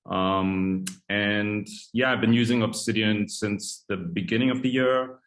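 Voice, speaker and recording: A man's voice: fairly low pitch, steady tone, measured pace, fairly bright tone. A man introducing himself on a video call, earnest and enthusiastic. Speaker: activist and book club member.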